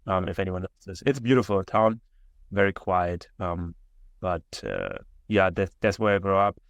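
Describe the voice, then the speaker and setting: A man's voice: low-pitched, normal speed, creaky, dry recording. A man in his twenties with a German accent, chatting casually as an interview guest over a video call, with lots of fillers and restarts. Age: 20s. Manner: relaxed, many fillers and restarts. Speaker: guest entrepreneur. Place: indoor room.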